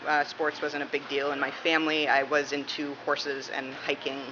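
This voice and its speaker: A woman's voice: low-pitched, completely flat delivery, normal speed. A woman from Massachusetts answering questions in a casual interview. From Massachusetts, United States. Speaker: interviewee, police dispatcher. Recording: muffled, clearly noisy room recording.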